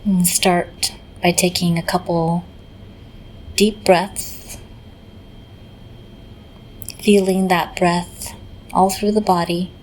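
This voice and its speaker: Slow, low-toned woman guiding meditation. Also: little pitch movement, slight background noise, rough recording. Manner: gentle, unhurried, pausing mid-phrase. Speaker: meditation teacher. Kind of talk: guided meditation narration.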